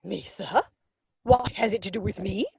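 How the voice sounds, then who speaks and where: A woman's voice: medium pitch, moderate pace, darkish tone, no echo. A woman playing an imperious, snobbish older upper-class lady in a theatrical comedy reading, pompous and disapproving. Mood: indignant. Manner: pompous, disapproving, crisply emphatic. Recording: dry home video-call recording.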